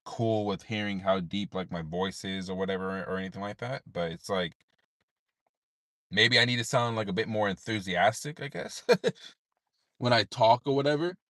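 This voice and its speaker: A tired man with a deep voice, rambling in a laid-back way with many fillers while livestreaming from his parked car at night. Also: moderate pace, fairly bright tone, no echo, studio quality. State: tired, unable to sleep. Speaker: streamer. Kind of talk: late-night livestream chat.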